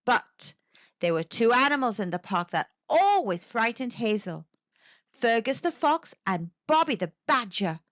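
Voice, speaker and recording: A woman storyteller reading a children's picture book aloud with expressive narration. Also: a little high, a little slow, no echo, highs cut off.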